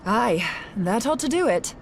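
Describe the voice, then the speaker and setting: A woman's voice: a little high, very animated, unhurried, low-quality recording. An actress playing a spirited young woman scholar in a video game, with an informal, wry, plain-spoken manner. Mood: eager, determined. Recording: clear background noise.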